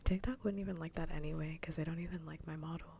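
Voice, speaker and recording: A woman chatting casually in a soft, breathy whisper on an ASMR livestream, with a wry, joking manner, close to the microphone. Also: low voice, fairly even intonation, quick pace, slight background noise.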